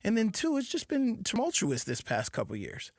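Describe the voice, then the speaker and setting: Male radio co-host, ex-player, higher pitch, emphatic, dry mic. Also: wildly swooping pitch, darkish tone, dry recording. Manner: quick agreeing interjections, emphatic repeats. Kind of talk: casual sports talk.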